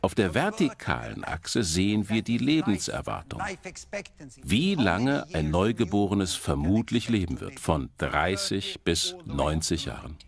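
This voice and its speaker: A man presents a documentary lecture in an emphatic, explanatory way. His voice sits fairly low but swings widely in pitch, with crowd noise behind him. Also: very animated, unhurried, gravelly. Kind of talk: documentary lecture voice-over in German dub.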